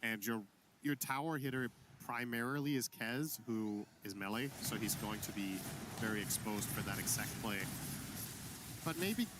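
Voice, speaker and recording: A man analyzes a live esports match at a measured pace and thinks his points through aloud. His voice sits in a plain middle register. Game noise hums steadily behind him. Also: medium pitch. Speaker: color commentator.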